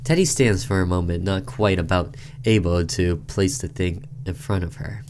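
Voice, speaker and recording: A man's voice: low voice, steady tone, moderate pace, darkish tone, slight background noise. A man doing casual let's-play commentary, reading a game's narration aloud and reacting informally as he goes. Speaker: gaming video host reading a game's text aloud.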